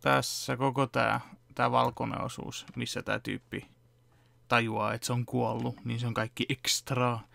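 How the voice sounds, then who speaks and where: A man's voice: medium pitch, creaky, no echo. A young man casually explaining his fan dubbing work step by step at his home desk, talking close to the camera. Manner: relaxed, explaining step by step with fillers. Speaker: vlogger and fan dubber. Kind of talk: casual behind-the-scenes chat. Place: home room at a computer desk.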